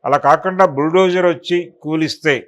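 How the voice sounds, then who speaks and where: A man's voice: on the high side, steady tone, fairly quick, fairly bright tone. A man giving extended spoken news analysis as a political commentator, explaining and recounting in detail.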